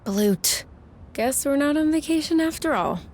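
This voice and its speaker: A woman's voice: high-pitched, lively intonation, unhurried, darkish tone, a little hiss or hum. A woman playing a playful, teasing young woman in casual video game dialogue. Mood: mock grumbling. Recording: music behind the voice.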